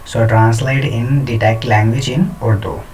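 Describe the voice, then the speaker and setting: A man's voice: medium pitch, steady tone, unhurried, darkish tone, far from the mic, a little hiss or hum, poor audio. A man hosting a screen tutorial, talking hesitantly with many fillers and restarts. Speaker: tutorial host. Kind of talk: screen-recorded software tutorial.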